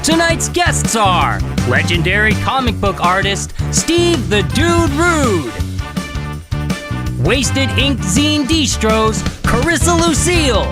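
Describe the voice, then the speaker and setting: A woman announcing a talk show introduction, with showy drawn-out emphasis on names. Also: on the high side. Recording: loud guitar music under voice. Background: loud guitar music.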